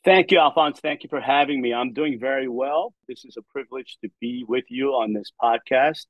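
A man in his forties with an American accent, born in South Korea and raised in the United States, answering as a guest pastor in a steady, friendly way. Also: mid-range voice, moderate pace, dry recording, dull audio. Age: 40s. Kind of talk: interview answers.

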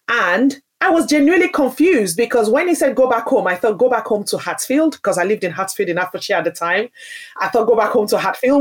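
A woman from Nigeria answering interview questions, speaking earnestly and emphatically about her career, often restarting her phrases. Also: mid-range voice. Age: adult, parent. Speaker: podcast guest, business founder. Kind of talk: interview answer, personal story.

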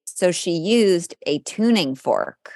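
A woman with a low voice talks as a podcast co-host. Her delivery is casual and conversational, and the sound is dry, with hardly any room on it. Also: lively intonation, slow, a little mellow, no echo. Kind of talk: casual chat between co-hosts, some reading aloud.

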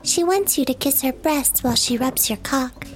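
High-pitched, coaxing seductress played by a woman. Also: normal speed, faint music behind, natural voice. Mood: coaxing, insistent. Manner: coaxing, pleading. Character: insistent seductive female interpreter for a silent companion. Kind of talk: sci-fi comedy audio drama acting.

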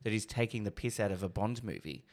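A man's voice: lowish voice, quick pace, darkish tone. Playful man chatting about films. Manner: playful, quotes lines and laughs. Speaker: podcast co-host. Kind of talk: casual movie review chat.

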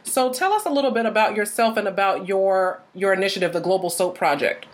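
A woman's voice: mid-range voice, a little fast. A woman hosting a faith-themed business podcast, introducing her guest and asking questions in an upbeat, polished way. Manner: upbeat, polished, lilting delivery. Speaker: podcast host.

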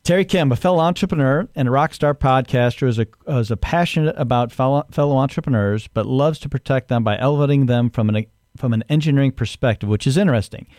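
A man's voice: medium pitch, fast, few pauses, a little mellow, dry recording, studio quality. A man hosting a podcast, introducing a guest and asking loose, rambling questions. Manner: friendly, rambling questions with restarts. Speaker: podcast host. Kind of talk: casual interview.

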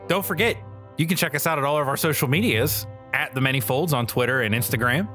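A man's voice: mid-range voice, quick pace, fairly bright tone, soft background music. A man co-hosting a podcast in a casual, informal chatty style. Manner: informal, frequently swearing. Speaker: podcast co-host.

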